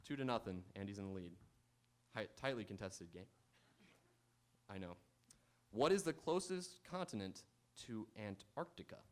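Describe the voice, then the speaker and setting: A man's voice: fairly low pitch, lively intonation, normal speed, long pauses. A man hosting a live game show on stage, bantering playfully and reading announcements to the audience. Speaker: quiz show host. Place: auditorium stage.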